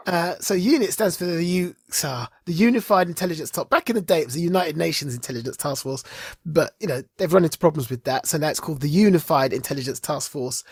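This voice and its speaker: A podcast co-host chatting casually and emphatically about geek culture, with an animated, opinionated way of talking. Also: medium pitch, breathy edge, no echo, studio quality.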